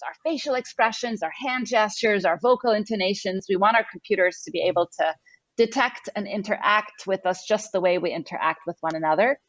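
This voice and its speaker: Gravelly-voiced woman from Egypt. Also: lowish voice, dry recording, highs cut off. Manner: earnest, thoughtful, with hesitant restarts. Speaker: tech company CEO, panelist. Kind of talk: panel discussion answers.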